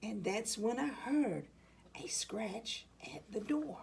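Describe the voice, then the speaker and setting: A woman reading a rhyming children's picture book aloud, with deliberate, dramatic phrasing for young listeners. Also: on the high side, expressive, creaky, darkish tone, slight background noise. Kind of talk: expressive storybook read-aloud in rhyme.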